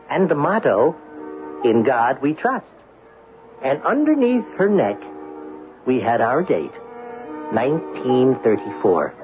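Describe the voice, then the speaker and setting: Animated chatty talking coin. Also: male voice, medium pitch. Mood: musing, chatty. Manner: conversational, musing, asks itself questions. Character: talking Mercury dime telling its life story, chatty. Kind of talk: 1970s radio drama narration. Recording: tinny band-limited 1970s radio, music.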